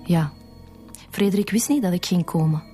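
A woman's voice: on the low side, moderate pace, close to an everyday voice. An actress with a Flemish accent playing a bitter, vengeful young woman in a radio drama. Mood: cold, resentful. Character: bitter, vengeful young woman confessing to killing her mother. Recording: music behind the voice.

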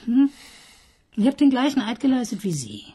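A woman's voice: a little high, very animated, normal speed, dark tone, slightly rough. An actress playing an ironic, coaxing female police inspector in a radio play, often dropping into a whisper. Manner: teasing, coaxing, mocking. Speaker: police detective.

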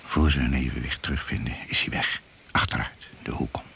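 Male radio play narrator: extremely low, creaky, suspenseful and deliberate, noisy recording with animal sounds. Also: steady tone, sharp tone. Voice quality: gravelly.

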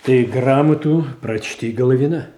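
An actor playing a stern, calculating royal adviser in a radio stage tragedy, with measured, formal delivery. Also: male voice, medium pitch, dark tone, lightly acted. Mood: grave insistence. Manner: measured, formal, weighty declamation. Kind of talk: classical Russian stage tragedy in radio adaptation. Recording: roomy, echoing stage sound.

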